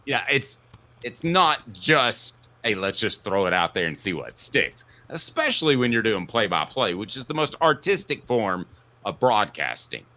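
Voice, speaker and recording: A man hosting a sports talk show, giving opinionated commentary in an emphatic way, with punchy declarations and drawn-out stresses. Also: on the low side, big pitch swings, pushed and bright.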